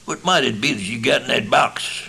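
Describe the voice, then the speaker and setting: Man voicing Old West stranger, storybook figure, American accent, deliberate, doubting, raised pitch, gravelly. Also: sharp tone, exaggerated voice. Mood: curious. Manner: slow, deliberate, folksy drawl. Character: deliberate, doubting stranger gambler in a mining camp.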